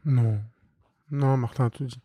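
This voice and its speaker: A young man chatting as a guest, quick and loose, often laughing and trailing off. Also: mid-range voice, fairly even intonation, dark tone, slightly rough, no echo. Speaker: guest, co-founder of a street art venue. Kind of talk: casual chat.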